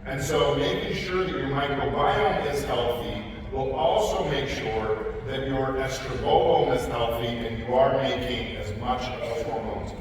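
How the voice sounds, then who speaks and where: A man's voice: medium pitch, measured pace, hardly any pauses. A man on a panel explaining health topics with confidence, speaking straight to the audience. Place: large conference hall. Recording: echoey hall, speaker far from mic, noisy.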